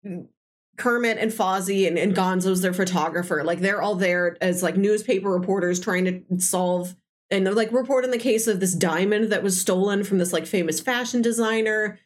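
A woman's voice: mid-range voice, normal speed. A woman hosting a podcast, chatting casually and effusively recommending films she loves. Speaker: podcast host. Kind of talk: casual film discussion chat.

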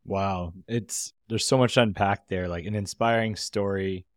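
A man's voice: low-pitched, fairly even intonation, moderate pace, no echo, studio quality. A man hosting a startup podcast, upbeat and candid as he asks questions. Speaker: podcast host. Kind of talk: casual podcast interview.

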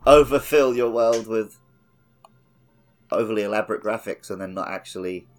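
Blunt, low-voiced man over music. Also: moderate pace. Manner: opinionated, blunt, sweary. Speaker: podcast host. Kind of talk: casual gaming podcast chat. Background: background music.

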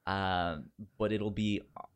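A man's voice: low-pitched, level tone, takes it slowly, smooth. A man co-hosting a podcast in casual chat, often repeating syllables and words as he stammers through a sentence. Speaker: podcast co-host.

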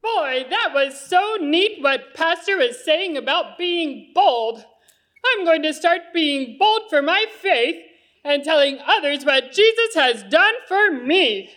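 A woman voicing a sensible, excitable puppet friend in a children's church show, earnest and instructive. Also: high voice, takes it slowly, exaggerated voice. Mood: eager.

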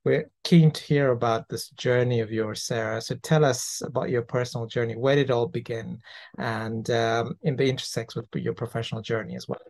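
A man's voice: medium pitch, fairly even intonation, brisk, creaky, a little mellow. A man hosting an interview podcast, presenting introductions and questions to a guest.

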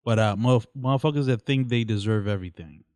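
A man's voice: on the low side, fast talker, darkish tone, no echo. A young man on a podcast, reading fan questions to his friends in an upbeat, teasing tone. His voice is dry and close to the mic. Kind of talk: casual podcast banter.

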